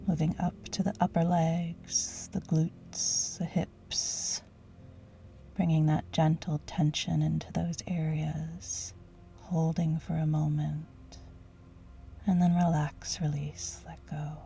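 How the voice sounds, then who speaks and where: A woman leading a guided yoga nidra relaxation in a soothing, gentle, hushed and breathy voice. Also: sits low, flat delivery, slow, a little hiss or hum. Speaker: guided meditation teacher.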